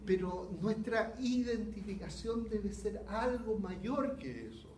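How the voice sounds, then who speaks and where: Chilean man, high-voiced pastor, slow earnest sermon, roomy echo. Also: expressive, a little mellow, slight background noise. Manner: earnest, unhurried preaching with rhetorical questions. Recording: echoey hall.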